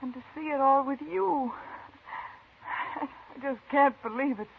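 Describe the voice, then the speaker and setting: A woman's voice: sits high, unhurried, gravelly. An actress playing an eager young frontier mother in a 1950s radio drama, with a breathless, earnest delivery. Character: eager young mother hoping to leave town. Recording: tinny vintage radio broadcast with hiss.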